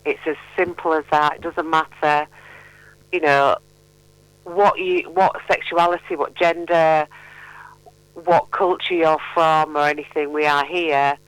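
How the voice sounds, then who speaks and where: A woman's voice: low voice, steady tone, unhurried, clean tone, dry recording, low-quality recording. A middle-aged woman chatting as a charity guest in a radio interview, playful and encouraging. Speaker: mental health charity representative, radio guest.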